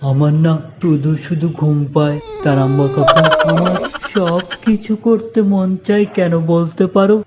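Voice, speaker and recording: A man's voice: on the high side, fairly even intonation, moderate pace, over loud music, pitched above the performer's own voice, natural voice. A man voicing a discontented, sleepy youngster in a cartoon, whining plaintively. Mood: whiny.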